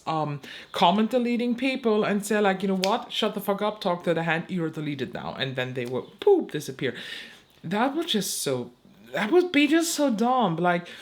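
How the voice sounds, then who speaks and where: A young woman, a beauty creator giving blunt opinions to camera, swearing casually and stumbling over words now and then. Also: mid-range voice. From Germany. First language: German.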